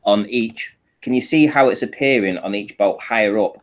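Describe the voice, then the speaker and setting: A man's voice: lowish voice, normal speed. A man speaking informally as a panel guest, talking listeners through images step by step and checking they follow. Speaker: panel guest presenting a photo analysis. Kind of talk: informal explanatory talk over shared images.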